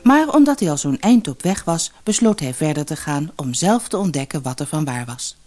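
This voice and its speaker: Husky, very expressive woman storyteller. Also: sits low, moderate pace, soft background music. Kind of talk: expressive scripted fairy-tale narration.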